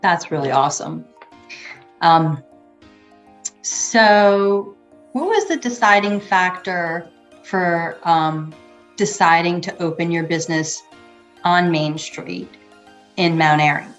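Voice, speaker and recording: A woman's voice: fairly low pitch, slow pace, pauses often, soft background music. A woman interviewer who reacts with enthusiasm and encourages her guest. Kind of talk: conversational interview.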